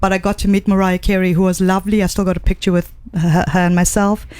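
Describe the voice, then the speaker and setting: A woman's voice: medium pitch, little pitch movement, fast, clear, resonant tone, no room sound. A middle-aged woman from Zambia, a singer, chatting as a guest in a radio studio and telling stories with enthusiasm. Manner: warm, enthusiastic storytelling with fillers. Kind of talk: casual interview chat. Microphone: studio microphone.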